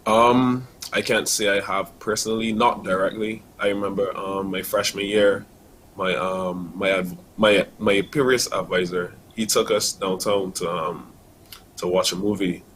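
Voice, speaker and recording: Guest: student in his early 20s, Barbadian accent, low-pitched, earnest, halting delivery. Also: fairly even intonation, fairly bright tone, a little hiss or hum, poor audio. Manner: earnest, many fillers and restarts. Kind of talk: casual interview answers.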